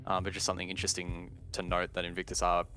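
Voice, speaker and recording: A man commentating live on an esports match in a measured, analytical way. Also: deep voice, monotone, music in the background. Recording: game gunfire and clicks behind voice. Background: game gunfire, explosions and clicks.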